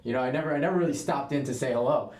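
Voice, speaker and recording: A young man from New York co-hosts a casual show and chats with quick, enthusiastic energy. His stories pour out fast. The room gives his voice a roomy echo. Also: medium pitch, a little mellow. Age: young adult. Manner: chatty, enthusiastic storyteller. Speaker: co-host, real estate agent. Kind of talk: casual chat.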